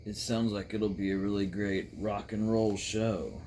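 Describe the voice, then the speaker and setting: A man playing a dark shock-rock star who hosts a talk show and asks deliberate, probing questions. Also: fairly low pitch, steady tone, a little hiss or hum, close to an everyday voice. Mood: measured, curious.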